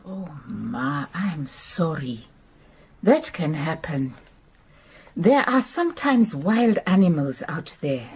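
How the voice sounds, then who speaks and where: An actress playing a wise, compassionate Botswanan detective with a Southern African accent, gentle and consoling, in a radio drama. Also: female voice, sits low, big pitch swings, slow pace, husky, exaggerated voice. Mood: gentle, sympathetic.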